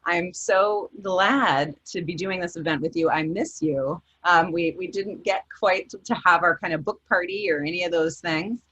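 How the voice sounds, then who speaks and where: Woman author, low husky voice, casual and chatty. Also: normal speed, few pauses, fairly bright tone, lo-fi sound. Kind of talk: casual book event talk.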